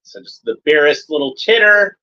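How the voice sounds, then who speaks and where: A man's voice: on the high side, expressive, normal speed, dull audio. A man giving casual running commentary while watching an episode, chatty and fond, pointing out details as they come up.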